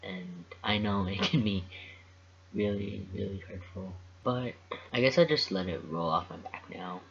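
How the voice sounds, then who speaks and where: A young adult man in a casual vlog, talking in a thoughtful, measured way with frequent pauses. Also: low-pitched, vocal fry, fairly bright tone, slight background noise. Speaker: vlogger. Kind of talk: casual reflective vlog monologue. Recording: dull lo-fi recording.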